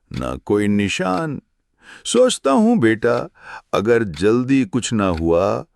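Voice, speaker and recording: A man voicing a worried, weary village father in a cartoon story, with a creaky voice. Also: medium pitch, a little mellow, heavily acted. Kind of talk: Hindi cartoon story dialogue.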